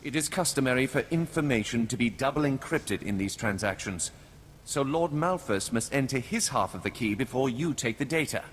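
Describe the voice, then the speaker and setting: An actor playing the suave, commanding head of a noble house in a video game, formal and measured. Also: male voice, mid-range voice, lively intonation, slight background noise, lightly acted. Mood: composed, businesslike. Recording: background music under the voice.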